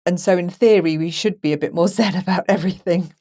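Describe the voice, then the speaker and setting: A woman's voice: on the low side, quick pace, dark tone. A woman co-hosting a podcast, talking through a film in a casual, thoughtful and conversational way. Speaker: podcast co-host.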